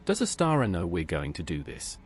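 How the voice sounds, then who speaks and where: A man's voice: low voice, wildly swooping pitch, a little fast. A man reading short scripted questions as the player character in a video game.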